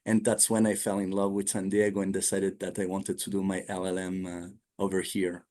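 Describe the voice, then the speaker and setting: Low-voiced man, halting speech. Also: monotone, warm tone. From Switzerland. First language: not English. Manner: halting, many fillers and restarts. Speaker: guest, attorney. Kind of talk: casual interview talk.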